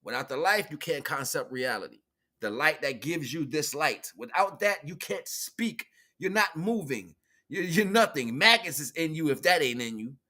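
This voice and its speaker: A man's voice: a little high, animated, normal speed, sharp tone. An American man giving an improvised, slangy spiritual talk, repeating phrases for emphasis and acting out bits of dialogue. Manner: slangy, conversational, acts out imagined dialogue. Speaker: spiritual teacher and livestream host. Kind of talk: improvised spiritual talk, casual street preaching.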